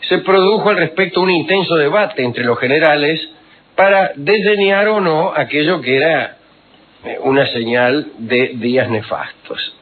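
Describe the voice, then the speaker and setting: A man, telling historical anecdotes on the radio in an unhurried, wry, digressive way full of fillers. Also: a little high. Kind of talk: rambling storytelling on radio. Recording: tinny phone-like radio recording.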